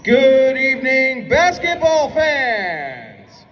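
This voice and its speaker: A man's voice: extremely high pitch, very animated, very slow, fairly bright tone, slight background noise. A man making formal basketball announcements over a gym PA in a projected showman's delivery. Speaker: public address announcer. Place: indoor college basketball gym. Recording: echoey PA in a gym, distant mic.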